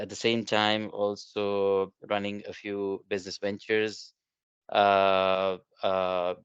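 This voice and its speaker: A middle-aged man whose first language is Urdu gives a webinar presentation, halting with many fillers and restarts. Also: fairly low pitch, fairly bright tone, clean tone, dry recording. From Pakistan. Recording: dull lo-fi online call.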